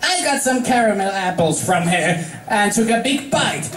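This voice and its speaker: A man's voice: high voice, lively intonation, moderate pace, recorded from a distance, music in the background, pitched above the performer's own voice. Dude doing a cocky girl pegasus pony, raised voice, casual n defensive, roomy echo.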